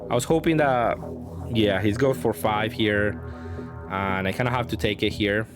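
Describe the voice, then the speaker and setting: A man's voice: fairly low pitch, moderate pace. A man giving casual gameplay commentary, thinking aloud in a hesitant way as he works through his options. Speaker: card game player and channel host. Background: background music.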